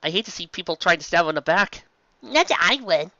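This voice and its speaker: A woman's voice: on the low side, lively intonation, fast, sharp tone, dry recording, highs cut off, voice pushed up. A woman voicing a gossipy, jealous talking mail bird from a cartoon, with a catty manner.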